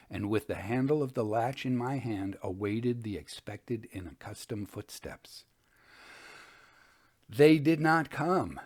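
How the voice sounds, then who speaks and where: A man narrates a Victorian ghost story in a voice of middling pitch. His delivery is formal and measured, like scripted storytelling, yet highly animated, with wide rises and falls in pitch for dramatic effect.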